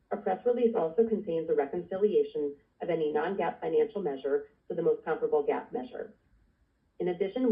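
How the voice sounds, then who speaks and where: A woman executive reading formal, scripted corporate remarks in a measured way on a conference call. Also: deep voice, gravelly. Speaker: investor relations executive. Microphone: telephone conference line. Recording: distant, tinny phone line.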